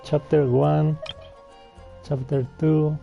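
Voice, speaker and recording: A man giving casual, halting gameplay commentary and reading on-screen text aloud. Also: fairly high pitch, little pitch movement, vocal fry, lo-fi sound, soft background music. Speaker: video game streamer.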